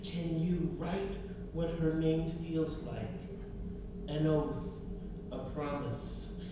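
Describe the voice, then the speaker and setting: A woman poet and professor speaking expressively and emphatically at a live reading, using repetition for effect. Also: low voice. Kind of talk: live poetry reading and audience talk. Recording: distant, very echoey, noisy room.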